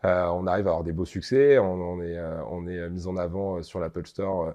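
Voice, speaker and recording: A man's voice: low voice, a little fast, mellow. A young man from the Paris region, a startup founder, answering interview questions with many hesitations and repeated words. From Paris region, France.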